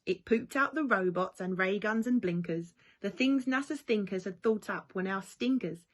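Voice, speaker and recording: A woman reads a picture book aloud for young children. Her voice sits at an average pitch, and she gives the rhymes an animated, lilting swing with plenty of expression. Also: moderate pace. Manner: animated, lilting rhyming delivery. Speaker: primary school staff member reading to children. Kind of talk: expressive children's storybook reading.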